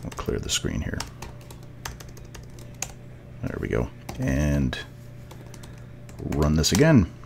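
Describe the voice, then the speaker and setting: A man's voice: rumbling low, huge pitch swings, takes it slowly, gravelly, pauses often, a little hiss or hum. A man giving a casual, step-by-step tutorial narration as a programming instructor, explaining as he goes.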